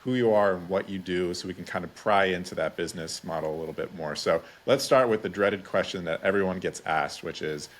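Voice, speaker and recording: A man's voice: low-pitched, brisk, few pauses. A man hosting a podcast interview in a conversational style.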